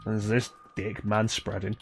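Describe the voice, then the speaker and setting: A man's voice: on the low side, level tone, a little slow. A man giving casual, joking live commentary as he streams a video game, with some swearing. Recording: music behind the voice. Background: video game music.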